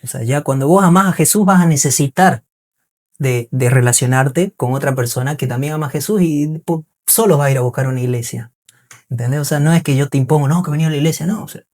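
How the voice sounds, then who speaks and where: A man's voice: on the high side, fast, darkish tone. A man from Bolivia with a mixed Bolivian and Argentine accent, chatting casually as a podcast guest, explaining things earnestly and often checking that he is understood. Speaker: interview guest, singer and musician.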